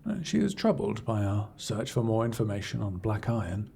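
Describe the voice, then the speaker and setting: A man playing a cool, imperious scholar-agent in a fantasy audio roleplay, speaking in a formal, measured, dryly condescending way. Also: lowish voice, big pitch swings, gravelly, mellow, slight background noise. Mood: wry, weary. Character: cool, imperious male scholar-agent serving an arcane archivist patron. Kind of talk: scripted audio roleplay acting, addressing the listener.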